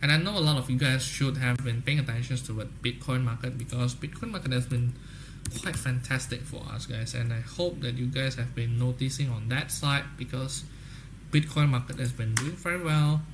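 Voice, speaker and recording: A young man with an Indonesian accent talks to viewers in a loose, promotional way, mid-pitched voice. He keeps looping back to "guys, okay?" as he goes. A faint hiss sits under the voice. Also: moderate pace. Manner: repeats "guys, okay?" often, upbeat salesy talk. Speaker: trading livestream host. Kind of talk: casual livestream chat with viewers.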